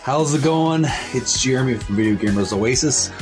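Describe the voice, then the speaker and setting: Male gaming host and amateur reader: halting, deliberate phrasing, animated intonation, background music. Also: mid-range voice. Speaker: host of a video game channel. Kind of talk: casual intro and book reading aloud.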